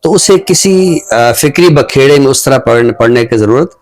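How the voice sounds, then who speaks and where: A man giving thoughtful, earnest commentary on a talk show in a measured, phrase-by-phrase way. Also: medium pitch, expressive, darkish tone.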